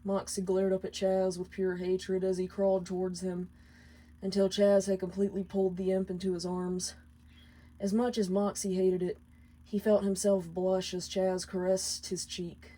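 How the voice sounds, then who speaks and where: A woman's voice: medium pitch, completely flat delivery, moderate pace, dark tone, low-quality recording. A woman reading scripted fiction aloud as a storyteller. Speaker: fan fiction reader.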